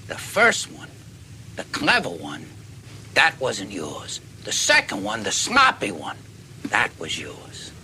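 A man acts out a rumpled, deferential detective who keeps pressing his suspect. His voice is keen-edged and swings widely in pitch as he muses in short, folksy phrases. It is dramatic dialogue with clear background noise. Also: wildly swooping pitch, bright, forceful tone, full character voice. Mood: animated, musing. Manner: halting, musing, folksy delivery in short phrases. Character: dogged, deferential, rumpled male police detective closing in on a killer.

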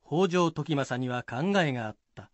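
Brief: male narrator for a scripted historical tale, slightly high voice, dry close recording. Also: lively intonation, normal speed, no echo.

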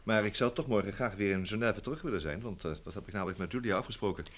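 A man's voice: lowish voice, racing, lightly acted. An actor playing a genial, chatty, politely self-deprecating male traveller in a vintage Dutch radio drama. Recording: faint noise of old radio recording.

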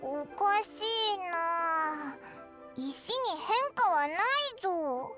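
Pint-sized fairy mascot for an anime game: squeaky-high, cheeky, blunt. Noticeable music bed. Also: female voice, lively intonation, extremely slow, heavily acted. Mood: surprised, puzzled. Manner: squeaky, boyish rough speech, blunt. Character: tiny childlike floating fairy companion, cheeky. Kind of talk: Japanese anime game voice acting. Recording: music behind game dialogue.

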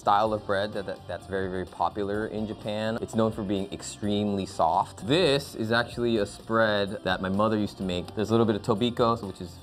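A man's voice: lowish voice, fairly even intonation, brisk, few pauses. A man from Southern California, a chef and owner, talking easily about his cooking. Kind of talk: interview soundbites. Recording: music bed under voice.

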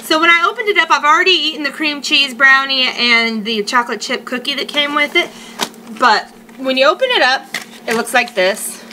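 Bright, high-pitched woman vlogger. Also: a little fast. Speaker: food reviewer, vlogger. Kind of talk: casual unboxing review to camera. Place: living room at home. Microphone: webcam or laptop mic at arm's length. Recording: faint noise, home webcam sound.

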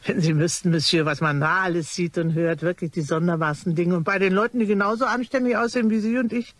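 An actress playing a chatty, nosy French cleaning lady in a radio crime play, rambling and exclaiming with a husky voice. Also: fairly low pitch, fairly even intonation, fast, no room sound, natural voice. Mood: confidential, gossipy. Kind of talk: radio crime drama acting.

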